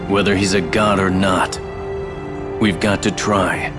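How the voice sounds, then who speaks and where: An actor playing a resolute, earnest hero in a fantasy video game, with a serious, determined manner. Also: male voice, low voice, fairly even intonation, measured pace, music in the background.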